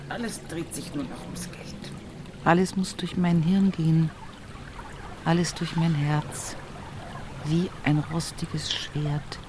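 A woman's voice: fairly low pitch, background noise. An actress playing a rural Bavarian woman in an intimate radio play monologue, musing plaintively and colloquially about life. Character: rural Upper Palatinate woman, humorous, brooding, recalling her life. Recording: music behind the voice.

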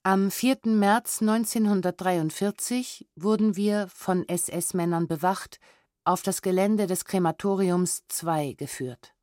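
A woman's voice: fairly low pitch, darkish tone, no room sound. A woman narrating a scripted documentary audiobook in a formal, factual way, close to a studio microphone. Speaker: narrator announcing and reading documents.

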